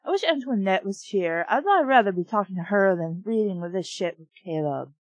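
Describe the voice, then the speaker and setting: A woman's voice: medium pitch, lively intonation, normal speed, no echo. A woman reading a story aloud with sweary, sarcastic, exasperated commentary of her own. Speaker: reader and reviewer of children's books. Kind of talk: audiobook-style reading with sardonic ad-lib commentary.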